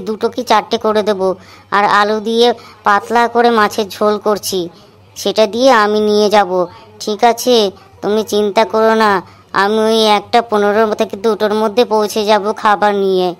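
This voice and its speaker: A woman's voice: mid-range voice, clean tone, natural voice. A woman playing a meek husband who keeps house and eagerly obliges.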